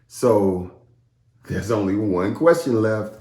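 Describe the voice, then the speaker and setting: A man, a children's storytime host, speaking playfully and directly to young listeners in an animated read-aloud style. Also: on the low side, slow pace, reverberant.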